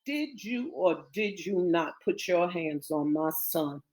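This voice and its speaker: Vengeful, wounded mother for dramatic storytelling, voiced by a woman, slow and accusing. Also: high-pitched, expressive, sharp tone, voice pushed up, heavily acted. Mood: cold fury. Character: anguished vengeful mother confronting her treacherous brother.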